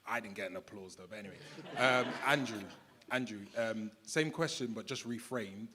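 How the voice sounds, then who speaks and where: A man with a fairly low voice moderates a live panel, joking lightly and friendly with the audience. Faint room ambience sits behind him. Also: sharp tone, slight background noise.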